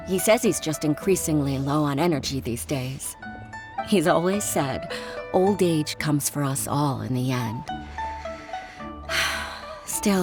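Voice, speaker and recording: A woman voicing a young female official in a video game, soft-spoken and earnest. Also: low-pitched. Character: young woman official, worried for her father. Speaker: game character.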